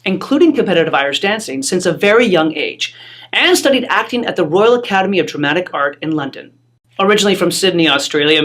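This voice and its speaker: A presenter reading a school video newsletter in a measured, formal way. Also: medium pitch, fairly bright tone. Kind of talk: scripted announcement narration.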